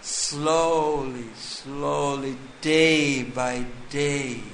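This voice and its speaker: A man giving a scripture class, reading a passage and then explaining it slowly and deliberately. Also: a little high, fairly even intonation, a bit bright, echoey room, a little hiss or hum. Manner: slow, deliberate, reads text then explains. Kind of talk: scripture lecture.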